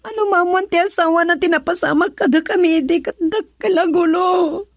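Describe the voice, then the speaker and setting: Radio drama: an anxious young daughter, extremely high voice, emotional and imploring. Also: female voice, fairly even intonation, fairly quick, dry recording, studio quality. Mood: distressed, pleading. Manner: pleading, emotional. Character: anxious, distressed young woman, a pleading daughter.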